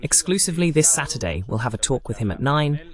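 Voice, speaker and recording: A man's voice: medium pitch, sing-song, fast. A man hosting a live political broadcast, arguing emphatically with rhetorical questions and repetition. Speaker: political show host.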